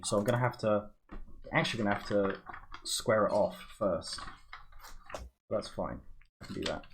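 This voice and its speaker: A man's voice: lowish voice, slight background noise, rough recording. A young man from the United States and Britain co-hosts a casual livestream, talking himself through what he is doing. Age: young adult. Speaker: co-host.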